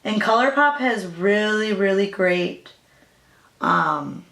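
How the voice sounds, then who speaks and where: A young woman with a raspy voice, chatting casually through a makeup tutorial as a new beauty vlogger. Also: mid-range voice, expressive, takes it slowly, a bit bright, roomy sound. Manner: chatty, hesitant, with fillers and apologies.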